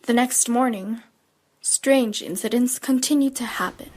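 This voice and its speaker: A woman's voice: a little high, unhurried, a little mellow. A woman playing a gloomy, guarded schoolgirl in an audio drama, restrained and earnest as she narrates and speaks. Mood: subdued.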